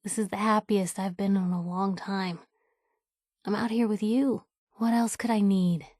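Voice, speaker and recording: A woman's voice: mid-range voice, fairly even intonation, measured pace, a little mellow, dry recording, studio quality, natural voice. An actress playing a plucky, wry young woman reporter in a post-apocalyptic video game, talking candidly and expressively in a folksy, conversational way. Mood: warm, sincere.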